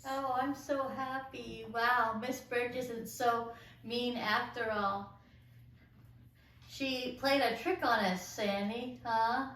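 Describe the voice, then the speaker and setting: A woman's voice: fairly high pitch, fairly even intonation, slow, fairly bright tone. Girl reading sweet caring patient at a table read, echoey room, bit far from mic. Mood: happy, excited. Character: sweet, caring young female patient Annie. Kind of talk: amateur musical table read.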